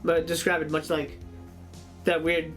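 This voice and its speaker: A man's voice: a little high, monotone, a little slow, a bit bright, soft background music, poor audio. A man hosting a video in a casual monologue, speaking hesitantly with stammered repeats. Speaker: video host.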